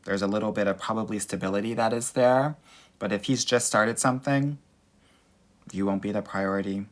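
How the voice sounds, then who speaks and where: A young man with a slightly low voice runs a live dating Q&A, reading viewer comments into his phone. He talks with sass and energy and calls his listeners girl and honey. He comes from Southern California. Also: brisk. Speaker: dating coach.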